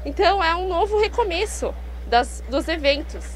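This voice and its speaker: A woman's voice: extremely high pitch, animated, normal speed. A young woman with a southern Brazilian accent answering conversationally as an interview guest, slightly muffled by a face mask. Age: young adult. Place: outdoors in a park at a fair. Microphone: handheld microphone. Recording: clear background noise and hum outdoors.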